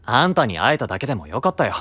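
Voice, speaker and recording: An actor playing a frank, good-natured young knight, a younger brother who talks casually and straightforwardly, in an anime audio drama. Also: male voice, mid-range voice, sing-song, rapid-fire, fairly bright tone, breathy edge, no room sound. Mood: friendly.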